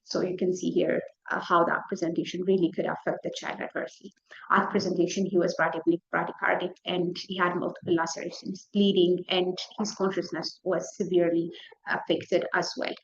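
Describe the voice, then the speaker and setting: Female doctor presenting a medical case talk, lowish voice, conversational delivery, slightly muffled lo-fi audio. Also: little pitch movement, a little fast, creaky. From Ethiopia. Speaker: physician presenting a case lecture. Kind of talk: slide presentation, medical lecture. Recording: dull, lo-fi lecture audio.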